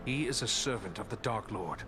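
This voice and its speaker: An actor playing a resolute human ranger in a fantasy video game, speaking in a formal, earnest way. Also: male voice, on the low side, lively intonation, normal speed, over music, natural voice. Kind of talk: video game cutscene acting.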